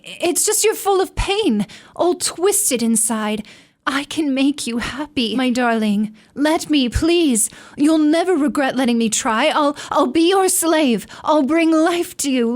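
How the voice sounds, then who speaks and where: A woman acts out a young wife in a radio drama, high-voiced and slow to speak. She is lovelorn and wounded, pleading desperately with emotional intensity.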